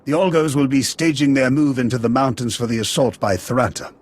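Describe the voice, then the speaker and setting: An actor playing a dignified, earnest leader in a video game, husky and gravely formal. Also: male voice, medium pitch, fairly even intonation, fairly quick, close to an everyday voice. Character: earnest, dignified male Republic leader giving briefings. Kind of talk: video game cutscene acting.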